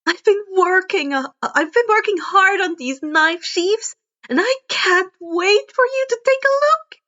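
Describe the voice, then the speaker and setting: A woman voicing an excitable, eager young female inventor with a bubbly, exclamatory manner. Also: very high-pitched, a little slow, lo-fi sound, voice pushed up, heavily acted. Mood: excited, eager.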